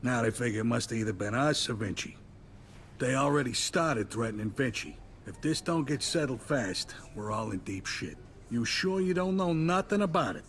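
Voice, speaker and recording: A man's voice: mid-range voice, lively intonation, moderate pace, a bit bright, a little hiss or hum, lightly acted. Brief: a man doing a pressing, suspicious game mobster. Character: suspicious male mob boss, demanding.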